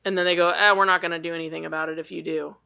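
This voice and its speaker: A woman's voice: lowish voice, level tone, very fast, creaky, pushed and bright, clear, resonant tone. A woman co-hosting a podcast in casual chat, blunt and swearing freely, laughing as she rants. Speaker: podcast co-host.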